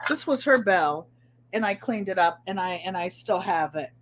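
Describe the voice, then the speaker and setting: A woman's voice: mid-range voice, animated, slight background noise, rough recording. A middle-aged woman with a New England accent and a nasal voice, hosting a casual live craft stream and chatting to viewers as she shows her work. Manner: chatty, unhurried, talks to viewers by name. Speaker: craft livestream host.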